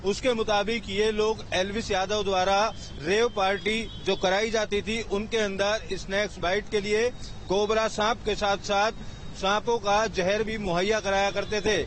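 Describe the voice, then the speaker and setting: Flat, high-pitched man reporting on location. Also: measured pace, rough recording. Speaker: field news reporter. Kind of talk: live on-location news report. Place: outdoors at an urban street location. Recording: faint outdoor street and traffic noise.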